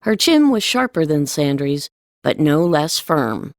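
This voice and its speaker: A woman's voice: low voice, very animated, unhurried, gravelly, no echo, studio quality. A woman reading scripted storybook narration as an audiobook narrator.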